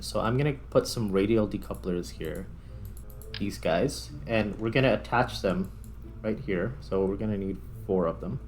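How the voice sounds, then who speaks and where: Male gaming host, lowish voice, casual, talks through each step as he goes; light music bed. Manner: thinks aloud while working. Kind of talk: casual gameplay commentary. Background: background music.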